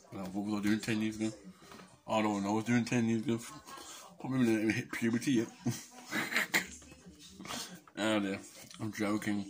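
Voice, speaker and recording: A young man from England talks casually to a close-up webcam in a small dim living room, his voice worn and fading. He mumbles along, cracks jokes and narrates his own antics in the third person, in a somewhat low register. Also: poor audio. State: losing his voice. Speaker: vlogger. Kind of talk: casual rambling self-commentary. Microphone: webcam or phone camera at close range.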